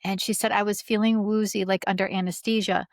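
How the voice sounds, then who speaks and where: Female podcast guest, mid-pitched, earnest informal storyteller, dry studio-close sound. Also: level tone, fairly quick, no echo. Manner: earnest, informal, frequent "like" and "right?". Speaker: podcast guest, spiritual healer. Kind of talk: conversational storytelling, reading out testimonials.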